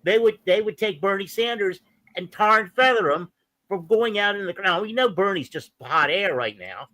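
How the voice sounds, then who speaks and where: A man arguing a point in a casual discussion, insistent, halting and repeating words. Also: high-pitched, expressive, sharp tone, no room sound, rough recording.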